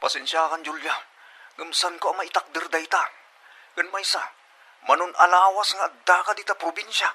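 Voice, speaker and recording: A man's voice: sits high, steady tone, normal speed, sharp tone, breathy edge, full character voice. A man playing an evasive, cold Filipino boyfriend in a drama, firm and dismissive. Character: evasive, cold boyfriend Jerry, breaking off romance. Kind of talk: Ilocano comedy radio drama acting.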